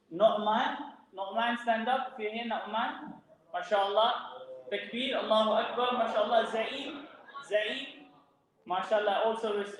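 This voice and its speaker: A man announcing prizes to children at a mosque ceremony, calling out names loudly and projecting his voice over the room. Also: sits high. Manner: loud, projecting over a crowd. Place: mosque hall. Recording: echoey hall.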